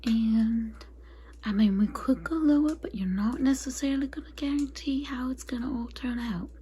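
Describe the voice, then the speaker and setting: A woman playing a chatty, catty female vampire consultant in a soft, breathy, confiding ASMR roleplay whisper. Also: on the high side, normal speed, close to an everyday voice. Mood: frank, businesslike. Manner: confiding, gossipy, apologetic asides.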